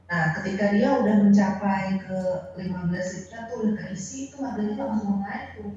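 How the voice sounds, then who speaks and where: A woman's voice: medium pitch, normal speed, mellow. Indonesian woman, very echoey muffled sound. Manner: mixes in English business terms. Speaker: tour organizer answering questions. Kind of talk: informal explanation. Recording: very echoey, muffled, noisy pickup.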